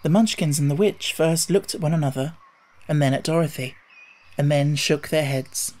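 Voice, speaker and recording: A man's voice: fairly high pitch, normal speed, darkish tone. A man reading a children's audiobook as scripted narration.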